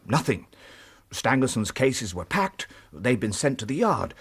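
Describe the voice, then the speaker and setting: A man playing an earnest, middle-aged British police inspector in a radio drama, giving grave, halting reports in a husky voice. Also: mid-range voice, very animated, fairly bright tone, heavily acted. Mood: reporting. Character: earnest, shaken veteran male police inspector.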